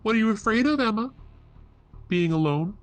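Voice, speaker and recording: A woman voicing an eerie, cruel possessed girl in a horror story, with deliberate, menacing delivery. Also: medium pitch, expressive, voice pushed up, close to an everyday voice. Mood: taunting.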